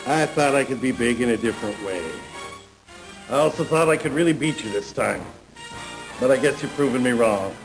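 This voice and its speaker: An actor playing a smug, envious pool shark in a video game cutscene, with showy, drawling taunts. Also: male voice, medium pitch, moderate pace, music in the background, natural voice, rough recording. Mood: rueful.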